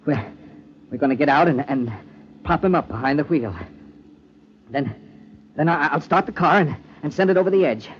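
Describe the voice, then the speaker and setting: An actor playing a desperate, guilt-ridden killer in an old-time radio drama, hard-boiled but cracking with nerves. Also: male voice, on the high side, animated, close to an everyday voice. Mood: grim, strained. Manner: halting, stammering when explaining. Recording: dull, noisy old radio recording.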